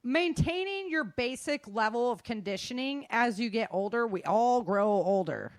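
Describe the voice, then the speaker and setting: A woman's voice: a little high, lively intonation, normal speed, dry recording, studio quality. A middle-aged woman giving an informal talk in a candid, colloquial way with joking asides.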